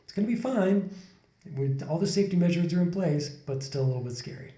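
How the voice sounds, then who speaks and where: A man in his forties talks through his thoughts on a podcast, circling back and restarting sentences as he goes. His voice is mellow and a little dark, and the indoor room adds a noticeable roomy echo around the desk mic. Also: high-pitched, expressive, fast. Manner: reflective, rambling with many restarts. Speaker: podcast co-host. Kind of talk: casual conversation. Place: indoor room, sitting on a couch. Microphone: desk condenser mic in front of couple.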